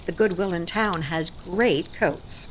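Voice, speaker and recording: An American actress, middle-aged, playing a blunt, salty older warehouse worker in a naturalistic audio drama. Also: female voice, on the low side, slow, slight background noise. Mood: matter-of-fact. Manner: salty, plainspoken, folksy. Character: blunt, aging warehouse worker living in a van.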